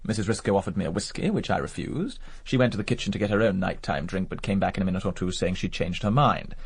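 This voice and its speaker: Radio drama: clipped, sardonic English gent, low husky voice, dry delivery. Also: male voice, expressive, quick pace, no echo, heavily acted. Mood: dryly sardonic. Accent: British English. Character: suave, sardonic English gentleman, rejected suitor.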